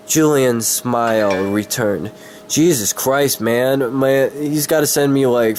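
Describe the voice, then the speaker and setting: A man with a cold doing a dramatic story reading, halting and easily distracted, with casual swearing. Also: mid-range voice, darkish tone, a little hiss or hum. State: sick with a cold.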